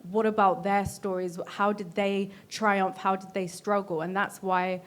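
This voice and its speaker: A woman's voice: mid-range voice, little pitch movement, unhurried. A woman with a British accent, speaking as a panelist in an earnest, explanatory and reflective way. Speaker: panelist, Korean cultural ambassador.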